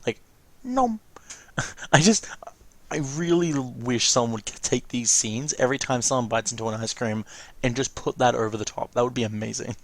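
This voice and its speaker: A man's voice: medium pitch, moderate pace. A man giving casual, rambling, enthusiastic reaction commentary as a let's play host.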